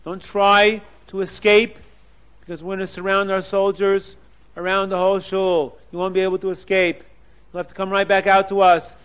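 A man's voice: sits high, little pitch movement, normal speed, a bit bright, smooth. A man doing a blunt king's officer. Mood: stern. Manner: blunt, commanding. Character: blunt male king's officer, stern and matter-of-fact.

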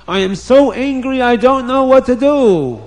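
A man's voice: on the high side, moderate pace, a little mellow, close to an everyday voice. A man voicing a hot-tempered, blustering king in a children's story, exclaiming and accusing with heavy emphasis. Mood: angry, accusing.

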